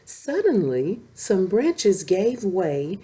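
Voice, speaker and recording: A woman reading a bedtime story expressively, as a storyteller speaking to young children. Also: medium pitch. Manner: animated, slow-paced reading addressed to young children.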